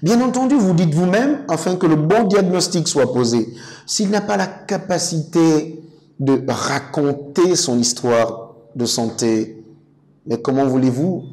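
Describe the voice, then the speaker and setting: Emphatic higher-pitched man. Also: a little mellow. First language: an African language. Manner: emphatic, rhetorical, deliberate articulation. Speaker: interview guest, public speaking coach. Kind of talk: studio interview, explanatory and didactic.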